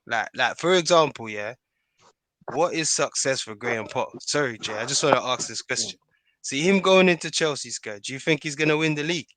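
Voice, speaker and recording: A man with a bright, forceful tone takes part in a casual football debate. He questions the others and chimes in agreeably, recorded dry and close. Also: mid-range voice, lively intonation, brisk, no echo. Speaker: podcast panellist.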